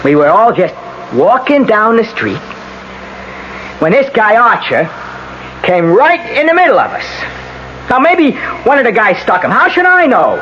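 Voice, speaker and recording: An actor playing a defiant, slangy young American gang member in a radio drama. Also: male voice, high-pitched, big pitch swings, measured pace, long pauses, a bit bright, exaggerated voice. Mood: defensive. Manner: slangy, protesting. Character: defiant, streetwise young male gang member under arrest. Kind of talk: 1980s radio drama acting. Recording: muffled, noisy old radio broadcast.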